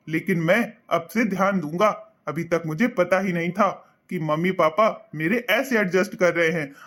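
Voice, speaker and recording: A man's voice: high voice, fairly bright tone. An actor voicing an earnest young son in a cartoon, reassuring his parents in a heartfelt way.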